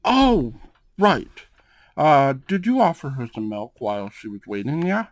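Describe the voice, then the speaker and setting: Guy doing a casual cat boss, asmr roleplay, unhurried, dry close recording, says yeah? a lot. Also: medium pitch, big pitch swings, no room sound. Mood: courteous, inviting. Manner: casual, tags sentences with "yeah?". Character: laid-back male cat-person gang boss, grumbly, courteous.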